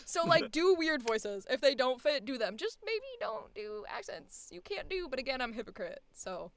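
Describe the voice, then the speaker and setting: A woman voice actor chatting casually about auditions, animated and profane, laughing often. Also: high-pitched, normal speed. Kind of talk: casual chat.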